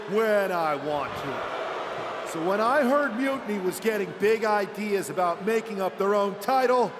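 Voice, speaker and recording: An actor playing a brash, belligerent male champion wrestler, boastfully projecting an in-ring promo in a video game. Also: high-pitched. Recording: echoing arena with loud crowd noise.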